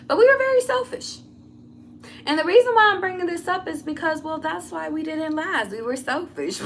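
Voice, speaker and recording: A woman's voice: a little high, fairly bright tone, a little hiss or hum. A woman, a tarot reader, telling a personal story to her viewers in a blunt, candid way, swearing freely and talking straight to the audience.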